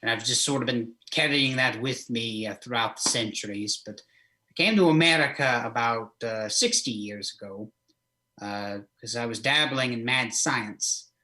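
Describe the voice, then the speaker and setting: Man acting as flamboyant old vampire count, bright forceful voice, medium pitch, hesitant theatrical rambling, comic podcast interview. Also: moderate pace, natural voice, rough recording. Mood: matter-of-fact, rambling. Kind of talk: improvised comic interview in character.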